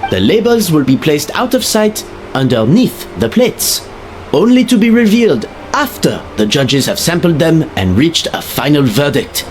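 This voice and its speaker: A man's voice: fairly high pitch, big pitch swings, normal speed, slight background noise. A man playing a grand, formal showman hosting a cooking contest in a video game. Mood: formal, explanatory. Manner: theatrical, formal announcing.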